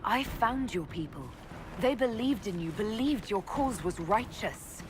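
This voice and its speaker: A woman playing a calm, caring noble leader with a gentle, direct manner in video game dialogue. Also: medium pitch, unhurried, background noise, lightly acted. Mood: firm, grave.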